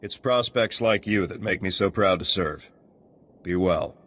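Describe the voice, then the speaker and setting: An actor playing a gruff, dutiful male guard in a video game, talking in terse, clipped sentences. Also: deep voice, a little slow, a bit bright. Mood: earnest, businesslike. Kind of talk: video game dialogue acting.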